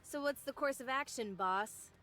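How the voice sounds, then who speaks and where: A flippant, world-weary young female soldier in a video game. Her voice is high and her delivery wry and nonchalant, with music underneath. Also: moderate pace, bright, forceful tone. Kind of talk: game cutscene voice acting.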